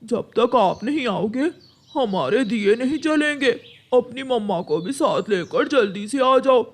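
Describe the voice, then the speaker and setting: A man's voice: extremely high pitch, expressive, a little slow, full character voice. Man voicing a pompous, commanding cartoon crow. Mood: apologetic. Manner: commanding, declamatory. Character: pompous, commanding rich male crow householder.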